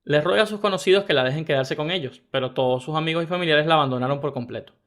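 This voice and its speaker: A man narrating first-person internet stories in a brisk, even reading style. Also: on the high side. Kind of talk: scripted story narration.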